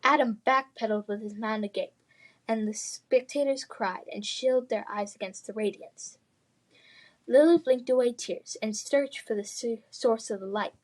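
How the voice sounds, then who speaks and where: A woman reading children's fantasy fiction aloud in expressive, scripted narration. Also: a little high, moderate pace, rough recording. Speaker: storybook reader.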